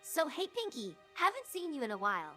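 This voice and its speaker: A woman's voice: high-pitched, wildly swooping pitch, fairly quick, a bit bright, soft background music, heavily acted. A woman voicing a friendly, concerned female pony in a fan audio drama. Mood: concerned, curious.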